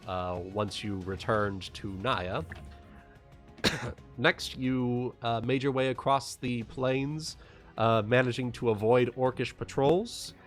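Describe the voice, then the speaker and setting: A man runs an online tabletop game in a casual, unscripted style. His delivery is slow and halting with loose swearing, and faint music plays behind him. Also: mid-range voice. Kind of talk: casual chat and off-the-cuff game recap. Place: online group voice call.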